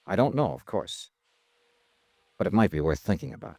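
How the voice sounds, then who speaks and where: Hard-boiled radio investigator, music underneath. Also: male voice, low voice, sing-song, normal speed, gravelly, heavily acted. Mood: stern, probing. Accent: American. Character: hard-boiled, skeptical male insurance investigator. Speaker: lead actor and first-person narrator. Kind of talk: 1950s radio detective acting and scripted narration. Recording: vintage radio recording with music.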